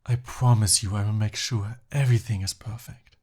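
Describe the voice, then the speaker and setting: A man acting an earnest, lovestruck young prince in a romantic ASMR roleplay, with halting, emotional, pleading and easily flustered delivery. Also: lowish voice, steady tone, darkish tone, breathy edge. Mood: stunned, joyful.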